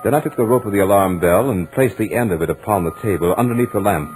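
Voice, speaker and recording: Man acting a deep-voiced studious young gentleman, radio horror. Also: background noise, lightly acted. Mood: tense, absorbed. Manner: measured, dramatic storytelling. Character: studious young male lodger, dryly amused, stubborn. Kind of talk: 1940s radio horror acting, first-person narration. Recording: old radio drama recording with music.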